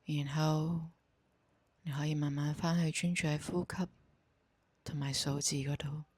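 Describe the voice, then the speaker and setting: A woman with a Hong Kong Cantonese accent guides a meditation in a soft, breathy voice, speaking calmly and giving gentle instructions. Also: sits low, no echo. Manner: slow, calm, gently instructing.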